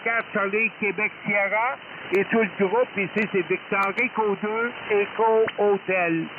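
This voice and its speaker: Through a thin, hissy shortwave link comes a man's high-pitched voice. He talks like a ham operator making contact, courteous and hesitant, often doubling back on his words. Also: steady tone, unhurried. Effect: shortwave radio transmission. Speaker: amateur radio operator. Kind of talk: unscripted ham radio exchange. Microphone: radio transceiver microphone. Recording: narrowband hissy shortwave radio signal with noise.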